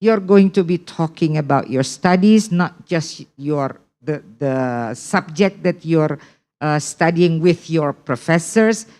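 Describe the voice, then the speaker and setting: Slow, low-voiced Indonesian woman teacher. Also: expressive, mellow. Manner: repeats key points, checks in with "okay?". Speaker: English test prep teacher. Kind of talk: online class lecture.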